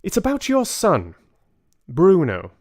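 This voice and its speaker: A man's voice: high-pitched, wildly swooping pitch, slow pace, a little mellow, voice pushed up, exaggerated voice. A man voicing a polite but firm elderly grandmother in a storybook, speaking delicately and insistently.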